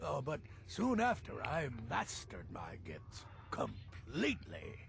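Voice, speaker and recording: An actor playing a cranky, boastful old mage with a gravelly voice in a fantasy game. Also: male voice, mid-range voice, wildly swooping pitch, slow pace, a bit bright, heavily acted. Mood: boastful, wry. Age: older. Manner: boastful, theatrical. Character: cranky old mage uncle, boastful, morbid, aloof loner.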